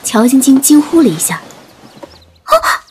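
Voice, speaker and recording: A woman's voice: high voice, animated, brisk, mellow, husky. A woman narrating a scripted dramatic audiobook story. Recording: music bed under narration.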